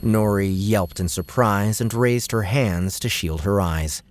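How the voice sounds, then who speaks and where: A man's voice: lowish voice, measured pace, a little hiss or hum. A man reading dramatic scripted narration as an audiobook narrator.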